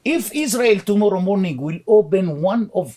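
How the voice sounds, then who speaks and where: A man's voice: sits high, fast talker, warm tone. A man with a Palestinian Arabic accent, whose first language is Arabic, speaking as an interview guest in an emphatic way and repeating his words. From Gaza. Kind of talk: conversational interview.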